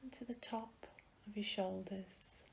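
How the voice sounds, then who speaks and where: A woman leading a guided meditation in a soft, breathy, soothing manner with gentle pauses. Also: mid-range voice, steady tone, a little hiss or hum.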